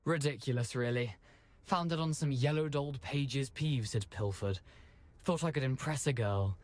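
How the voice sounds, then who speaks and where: An actor playing the jaunty, theatrical ghost of a beheaded gentleman in a video game, with a British accent and a flowery, wry, genteel delivery. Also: mid-range voice, moderate pace, lightly acted. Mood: wry, rueful.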